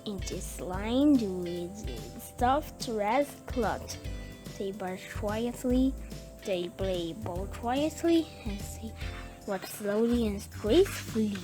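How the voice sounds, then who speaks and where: A woman reading a children's bedtime story aloud in short, halting phrases, word by word. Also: mid-range voice, expressive. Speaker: story reader. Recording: music playing behind the voice. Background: music.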